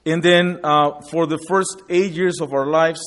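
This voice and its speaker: A man in his forties from Guatemala tells his own story in a church setting, in a slightly higher-than-usual voice. He speaks haltingly, with frequent fillers and restarts, like someone talking from memory rather than from a script. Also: fairly even intonation, fairly bright tone. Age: 40s. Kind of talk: personal testimony talk.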